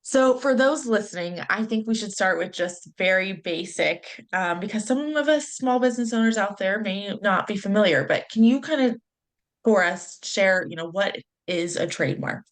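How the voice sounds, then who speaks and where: Enthusiastic female podcast host, mid pitch, encouraging interviewer. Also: lively intonation, normal speed.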